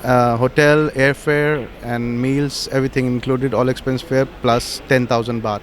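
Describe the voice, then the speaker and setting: Indian-accented male organizer, courteous and halting, light venue noise. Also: medium pitch, little pitch movement. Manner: polite, hesitant, many uhs. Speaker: tournament organizer. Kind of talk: casual interview.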